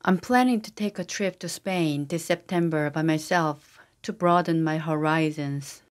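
A synthetic woman's voice reading English practice sentences for a language lesson, in an even, steady delivery. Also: deep voice, measured pace. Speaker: language teacher voice. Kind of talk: scripted English drill sentences.

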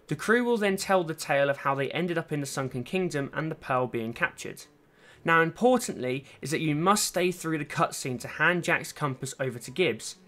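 A man's voice: fairly high pitch, sharp tone. A man reading a scripted video game walkthrough as host, in an instructional, step-by-step way. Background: music under the voice.

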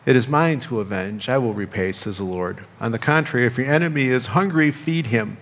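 A middle-aged American man preaching a sermon as a pastor, speaking in measured phrases with pauses and repetition. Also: mid-range voice. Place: church sanctuary, indoors at a lectern. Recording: reverberant church hall.

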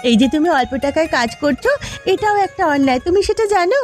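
A woman's voice: high-pitched, animated, fast talker, darkish tone, soft background music. A woman voicing a proud, protective village housewife in a cartoon, nagging and emphatic as she speaks. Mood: indignant. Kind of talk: cartoon dialogue.

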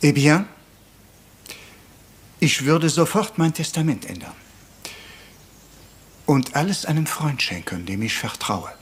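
Shrewd, courteous detective, higher-pitched. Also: male voice, lively intonation. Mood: calm, reasoning. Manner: measured, sprinkles French phrases. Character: courteous, shrewd Belgian male detective, middle-aged. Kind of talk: German crime radio play acting.

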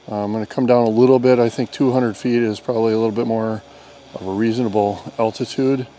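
A man's voice: medium pitch, steady tone, fast, dark tone. American man reviewing, with noisy background. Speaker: product reviewer and drone pilot. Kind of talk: conversational demonstration and review. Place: outdoors on an open lawn. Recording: outdoor recording with background noise.

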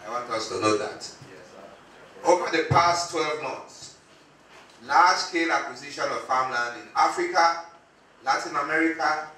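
A man's voice: a little high, normal speed, long pauses, pushed and bright. A middle-aged man giving a formal presentation as a lecturer. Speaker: university lecturer. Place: conference room indoors. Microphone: handheld microphone. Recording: very echoey, distant, faint noise.

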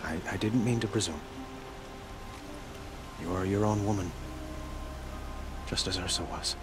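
Gracious, lowish-voiced young king. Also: measured pace, over music. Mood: tender, hesitant. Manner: formal, hesitant. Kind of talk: dramatic video game acting.

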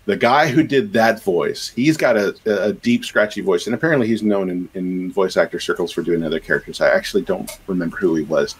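Low husky-voiced man, rambling. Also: fairly quick, hardly any pauses, low-quality recording. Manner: rambling, many fillers and restarts. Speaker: game composer and sound designer, guest. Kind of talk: casual podcast interview.

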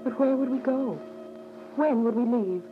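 Earnest young daughter played by an actress, music behind. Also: female voice, on the high side, unhurried, natural voice. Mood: earnest, troubled. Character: earnest, devout teenage daughter. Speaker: narrator and lead character. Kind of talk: dramatic first-person radio drama narration. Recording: muffled radio drama, music behind.

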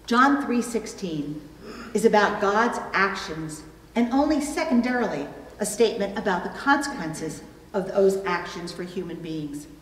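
A middle-aged woman pastor preaching a sermon, phrasing deliberately and pausing for emphasis. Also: medium pitch, expressive, fairly bright tone. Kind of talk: sermon with illustrative stories. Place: church pulpit indoors, recorded from a distance. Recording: echoey hall, long reverb.

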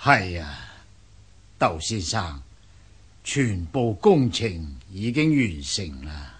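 An actor playing a courteous old Buddhist abbot in a radio drama, with a husky voice, measured dignity and a Hong Kong Cantonese accent. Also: male voice, low voice, sing-song, fairly bright tone, full character voice. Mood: calm, courteous. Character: courteous old Buddhist monk, head of a temple.

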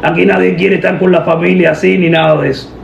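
A young woman making a deadpan prank phone call, giving instructions in an official-sounding manner. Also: fairly low pitch, fast, vocal fry, reverberant. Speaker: prank caller, host. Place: indoor room at a table. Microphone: camera a short distance away.

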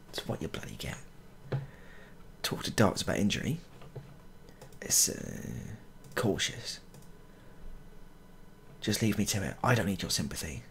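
Low, gravelly man muttering through a game. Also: fairly bright tone, a little hiss or hum. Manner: mock-exasperated, muttering, mild swearing, repeats phrases. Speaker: gaming video creator. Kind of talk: casual joking game playthrough commentary.

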